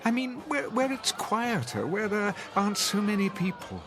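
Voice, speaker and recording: An actor in a radio drama playing a bewildered older husband who pleads with desperate earnestness, with music behind him. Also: male voice, high-pitched, very animated, normal speed, crowd noise. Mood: earnest pleading. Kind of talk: audio drama acting.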